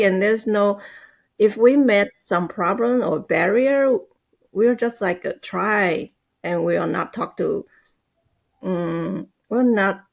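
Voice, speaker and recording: A woman, a Mandarin speaker, chatting on a podcast in halting, hesitant English. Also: lowish voice, gravelly. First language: Mandarin Chinese. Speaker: podcast guest, team manager. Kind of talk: casual podcast conversation.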